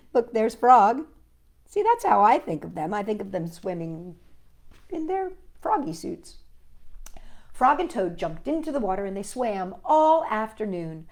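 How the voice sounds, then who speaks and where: Woman storytime host, playful read-aloud for kids, animated intonation, leisurely pace. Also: mid-range voice, a little slow. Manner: playful, speaks directly to young viewers. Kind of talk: read-aloud picture-book narration with chatty asides.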